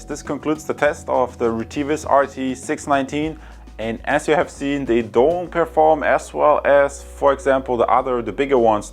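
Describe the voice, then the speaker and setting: A man with a fairly low voice presents a casual tech review, chatting directly to viewers. Faint music plays behind his talk. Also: darkish tone. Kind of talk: casual product review and field test talk.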